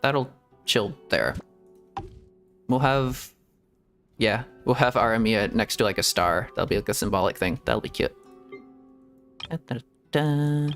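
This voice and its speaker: A man's voice: mid-range voice, measured pace, long pauses, fairly bright tone, soft background music. A man streaming a game, rambling to himself in casual commentary and giggling often. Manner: rambling self-talk with frequent giggles. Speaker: VTuber streamer.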